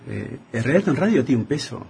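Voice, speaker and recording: An Argentine man with a mid-pitched voice chats as a radio guest. He speaks effusively and circles back on his words. A faint noise sits behind him in the broadcast. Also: very animated, fast, gravelly, darkish tone. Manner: effusive, repeats himself. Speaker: radio drama writer and guest. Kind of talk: casual radio chat.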